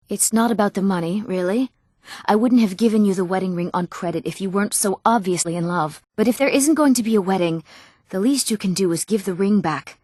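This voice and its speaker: Casting: actress for young eager townswoman, fantasy RPG; earnest, expressive delivery, mid-range female voice, dry sound. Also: mid-range voice, dry recording, natural voice. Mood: annoyed, stern. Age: young adult. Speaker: video game voice actress. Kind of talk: scripted fantasy game dialogue.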